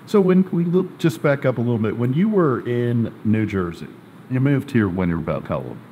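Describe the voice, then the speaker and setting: A man hosting a casual interview, chatty and joking, asking quick follow-up questions. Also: medium pitch, animated, warm tone, muffled recording, slight background noise. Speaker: host.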